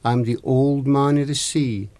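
A man's voice: medium pitch, fairly even intonation, measured pace, warm tone, dry recording, voice pushed up, natural voice. A man voicing the old man of the sea, a magical wish-granting fish in a folktale, with a Scottish accent and emphatic delivery. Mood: pleading. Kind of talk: oral folktale storytelling.

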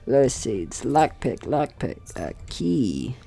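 A woman's voice: rumbling low, very animated, slow, vocal fry, warm tone, slightly rough. A woman giving casual, rambling and playful let's play commentary as host, swearing now and then. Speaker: gaming video host.

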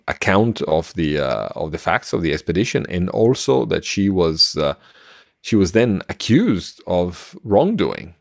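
A middle-aged man co-hosting a podcast in casual discussion, haltingly searching for words into a close microphone. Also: sits low, vocal fry, no room sound. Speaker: podcast co-host. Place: small room at home, indoors, video call. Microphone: close podcast microphone with headset.

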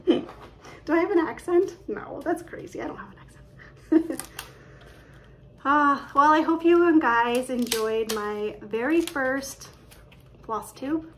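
This is a woman in her thirties with a fairly high, bright speaking voice, chatting eagerly to a webcam in her living room. She talks fast from excitement, peppered with fillers and small laughs. A light background hiss sits under the recording. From Canada. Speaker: cross-stitch vlogger. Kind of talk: casual show-and-tell chat. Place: living room at home, indoors. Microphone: webcam at desk distance.

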